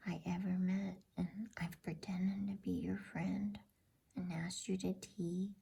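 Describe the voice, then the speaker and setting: A woman voicing a timid, guilt-ridden male faun in an anxious, halting whisper for an ASMR bedtime story. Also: on the low side, mellow, heavily acted. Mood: guilty confession. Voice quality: whispered, breathy.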